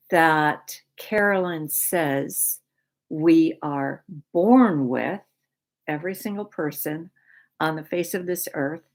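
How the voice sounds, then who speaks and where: An American woman hosting her own video, explaining things reflectively to viewers in deliberate, halting phrases with pauses. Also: low-pitched. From Michigan, United States (born in Poland). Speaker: video series host.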